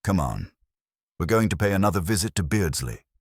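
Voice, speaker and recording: Casting: man as unhurried detective inspector for audio mystery, very deep, understated, quietly relentless, dry close sound. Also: big pitch swings, slightly rough, no echo, full character voice. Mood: quietly certain. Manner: measured, understated, softly spoken. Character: quiet, unhurried, probing male police inspector.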